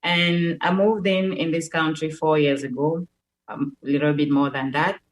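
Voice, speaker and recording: A woman's voice: sits low, fairly even intonation, normal speed, a little hiss or hum. A young woman from Cameroon with a Cameroonian French accent, a native French speaker, earnestly telling a personal story as a radio show guest and repeating words as she searches for them.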